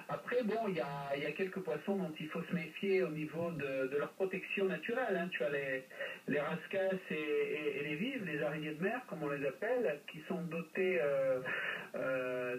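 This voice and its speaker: High-pitched man chatting over amateur radio. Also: a little hiss or hum. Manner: hesitant phrasing with constant "hein" tags. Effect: radio transmission. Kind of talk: casual radio chat about a hobby. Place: playback from speakers captured by a phone. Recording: radio reception re-recorded off speakers, distant.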